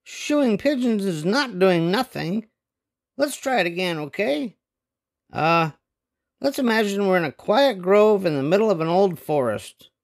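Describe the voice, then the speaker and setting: An older man puts on restless young cartoon boys from a storybook. The delivery is dramatic and slow, swinging widely in pitch, with a gravelly creak. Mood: bossy, earnest. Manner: expressive, dramatic. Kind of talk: animated storybook read-aloud with character voices.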